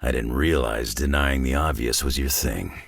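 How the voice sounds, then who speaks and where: An actor playing a gruff, battle-worn older mutant brawler in a video game, with a deep gravelly rasp and a terse, sardonic, folksy tough-guy delivery. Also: moderate pace, no room sound, studio quality, full character voice. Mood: dry, gruff. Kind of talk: video game character voice lines.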